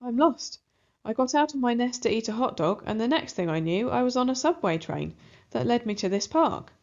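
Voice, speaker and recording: A woman's voice: fairly high pitch, lively intonation, normal speed, darkish tone, dull audio. A woman voicing a young, hungry, scared girl rat in a plaintive storybook voice. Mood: pleading, scared. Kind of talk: children's storybook character voice.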